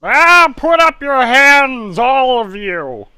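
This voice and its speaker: A man's voice: very high voice, very animated, slow, sharp tone, smooth, no room sound, pitched above the performer's own voice, heavily acted. A man playing a menacing gunman barking orders in old-time radio mystery style. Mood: threatening.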